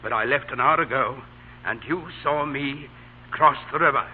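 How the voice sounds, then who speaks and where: A man's voice: mid-range voice, normal speed, slightly rough, close to an everyday voice. An actor playing an honorable, devoted Confederate captain and father in a solemn, earnest old radio drama style. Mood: urgent, tender. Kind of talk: 1930s-1940s radio acting. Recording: tinny, hissy old radio broadcast.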